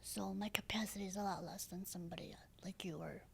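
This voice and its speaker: A woman in her thirties with a low, raspy, breathy voice, speaking softly and hesitantly as a podcast guest, with many fillers and restarts. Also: fairly even intonation, fast talker, slight background noise. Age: 30s. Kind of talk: casual interview talk.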